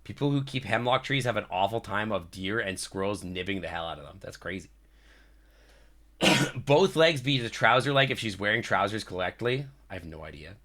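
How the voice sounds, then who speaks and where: A man's voice: lowish voice, animated, normal speed, bright, forceful tone. A man livestreaming a game in a slangy, sweary, casual way as his voice tires. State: voice tiring, losing it. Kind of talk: casual reactions and reading game text aloud.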